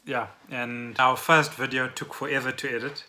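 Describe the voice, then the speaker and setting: A man with a bright, forward voice talks casually as a podcast co-host. He feels his way through sentences in an unscripted, halting way, with a little background noise on the recording. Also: mid-range voice, little pitch movement. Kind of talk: casual conversational podcast chat.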